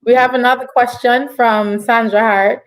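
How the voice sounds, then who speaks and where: A woman's voice: a little high, steady tone, normal speed, rough recording. A woman hosting an online show, asking questions in a casual interview style.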